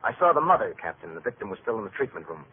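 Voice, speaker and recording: An actor playing a hot-tempered police detective, defensive and clipped, in an old-time radio drama. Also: male voice, fairly low pitch, big pitch swings, very fast, phone-quality sound, a little hiss or hum. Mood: subdued, defensive. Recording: phone-thin vintage radio recording.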